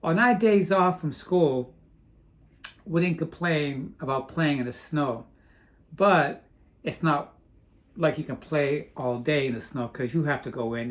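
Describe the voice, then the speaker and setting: Rambling man in a casual vlog. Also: mid-range voice. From Portugal. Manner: rambling, with restarts. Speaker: vlogger. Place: home in Florida.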